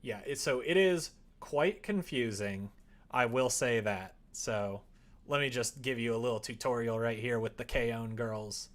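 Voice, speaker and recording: A man's voice: medium pitch, measured pace. A man chatting casually as a streamer, rambling and thinking aloud while he works through a tutorial. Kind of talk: casual livestream chat and tutorial while working. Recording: clear background noise, keyboard typing.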